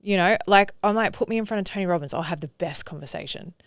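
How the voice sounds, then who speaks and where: A woman's voice: lowish voice, fast talker, no room sound, studio quality. A woman in her thirties co-hosting a podcast, talking casually and upbeat with frequent laughs and fillers. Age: 30s. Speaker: podcast co-host. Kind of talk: casual conversation.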